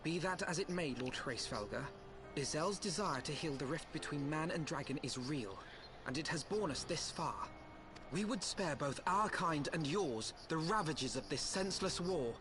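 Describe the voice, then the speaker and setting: An earnest young nobleman from a fantasy game, played by a male actor, his voice a little high. He speaks with courtly, measured formality, like a sincere envoy. Music swells clearly behind him. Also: animated, close to an everyday voice. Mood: earnest, diplomatic. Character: earnest, noble young man pleading for peace. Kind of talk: dramatic fantasy game acting.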